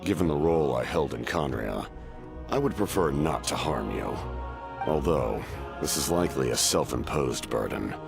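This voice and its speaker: A man playing an ancient, cursed immortal warrior in a video game, speaking gravely and formally in measured, weary, gravelly tones. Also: rumbling low, animated, over music, full character voice.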